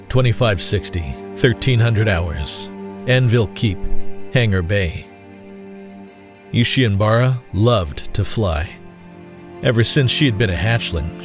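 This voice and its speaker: A male narrator with a low voice delivers scripted dramatic storytelling. Music plays clearly behind him. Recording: clear music bed under voice.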